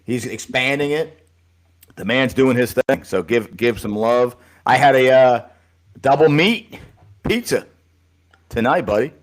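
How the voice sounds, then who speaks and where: A man co-hosting a live high school football podcast, chatting casually and calling his co-host buddy. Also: lively intonation, a little slow, pauses often. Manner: easygoing, chummy, calls co-host buddy.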